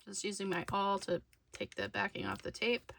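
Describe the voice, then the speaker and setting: A woman's voice: medium pitch, steady tone, moderate pace, vocal fry, fairly bright tone, a little hiss or hum. A woman narrating a hands-on craft tutorial casually, thinking aloud as she works. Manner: informal, thinking aloud while working.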